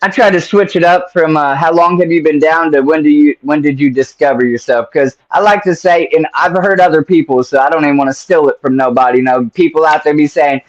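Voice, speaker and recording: A man's voice: fairly high pitch, brisk, few pauses. A man hosting a casual interview over a call, talking in a laid-back, slangy, rambling way. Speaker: interview host. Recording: dull lo-fi sound.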